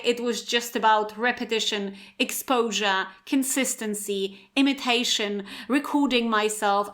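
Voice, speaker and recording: A woman with a Polish accent and a fairly high voice hosts a solo English-teaching podcast. She talks in a chatty, conversational way and keeps checking in with the listener with "right?" and "okay?". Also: sharp tone. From Poland. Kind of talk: solo educational podcast monologue.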